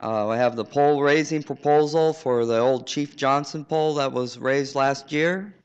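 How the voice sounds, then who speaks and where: Earnest man testifying, smooth resonant voice. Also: medium pitch. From southeast Alaska. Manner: earnest, halting, with restarts. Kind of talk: public testimony. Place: public hearing room. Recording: dull lo-fi hearing recording.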